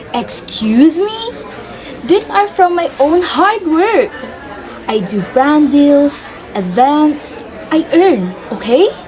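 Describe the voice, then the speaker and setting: A woman's voice: high voice, darkish tone, poor audio. A woman playing a dramatic, easily rattled socialite daughter in a radio drama, with valley-girl phrasing.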